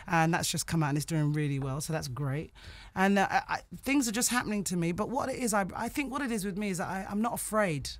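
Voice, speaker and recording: A woman's voice: fairly low pitch, animated, fast talker, few pauses, no echo. A woman, a singer guesting on a radio show, chatting frankly and easily, often laughing as she talks in the studio. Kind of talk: casual radio interview chat.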